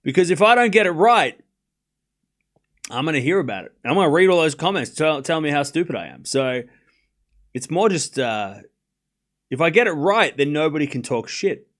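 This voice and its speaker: A man's voice: fairly high pitch, normal speed, long pauses. A young Australian man streaming live tennis, calling the points and chatting casually with his viewers. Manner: relaxed and rambling, reads chat aloud and answers it, swears casually.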